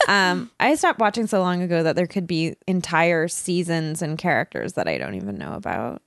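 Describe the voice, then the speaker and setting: A woman's voice: lowish voice, big pitch swings, normal speed, vocal fry, dry recording, clean studio sound. A woman in her thirties co-hosting a comedy podcast, giggly and teasing in casual chat. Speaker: podcast co-host.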